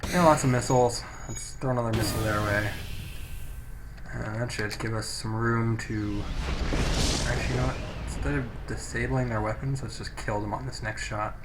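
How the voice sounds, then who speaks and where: Male gaming commentator, mid-pitched, casual and a bit hesitant, talking through his moves over a noisy cheap mic. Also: steady tone, moderate pace. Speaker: gameplay video host. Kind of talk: casual game commentary, reading in-game text aloud. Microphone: low-quality microphone. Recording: poor noisy microphone.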